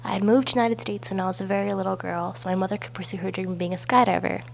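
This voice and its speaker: A young woman from Belarus, a native Russian speaker, with a raspy, stuffy voice, narrating her personal story for a class project. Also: medium pitch, steady tone, racing, slight background noise. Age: young adult. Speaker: student. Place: outdoors at a balloon festival.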